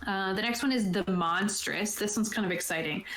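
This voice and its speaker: A woman's voice: medium pitch, brisk, fairly bright tone. Woman explaining game rules in echoey room. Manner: informal, conversational. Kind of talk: casual explanation, partly reading from a rulebook. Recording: roomy, echoey sound.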